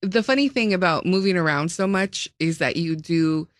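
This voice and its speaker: A woman's voice: on the low side, moderate pace, no echo, clean studio sound. A woman interviewing a guest in a casual, upbeat chat, laughing along as the guest talks.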